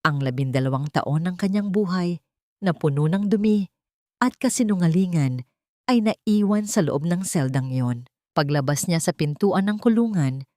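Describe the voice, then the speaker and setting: Low-voiced Filipino woman narrating drama. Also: darkish tone, no echo, clean studio sound. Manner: measured, descriptive. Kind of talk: scripted audio drama narration.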